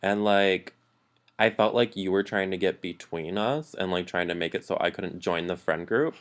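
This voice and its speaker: A man's voice: deep voice, normal speed. A man co-hosting a podcast, telling stories from his school days in a casual, chatty way with lots of "like"s. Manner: chatty, filler-heavy storytelling with many "like"s. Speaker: podcast co-host. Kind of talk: casual storytelling chat.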